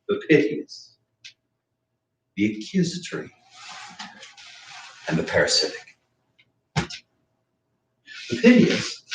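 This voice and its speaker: A man's voice: fairly low pitch, a little hiss or hum, full character voice. A man playing a bitter, drunken man tormented by grief and guilt in a staged reading, with a mocking, theatrical, needling manner. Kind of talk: dramatic monologue in a staged play reading. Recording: roomy, echoey, distant mic.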